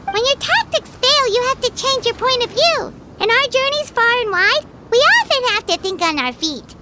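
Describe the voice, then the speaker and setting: Heavy noise and clicks surround a tiny fairy girl from a video game. The squeaky voice is extremely high and lively, with a perky, chatty, know-it-all delivery. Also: normal speed. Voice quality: squeaky, childlike.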